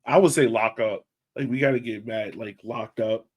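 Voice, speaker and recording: A man's voice: medium pitch, normal speed, husky. A man hosting a podcast in casual chat, with an informal, slangy and emphatic way of talking. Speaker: podcast host reading listener questions.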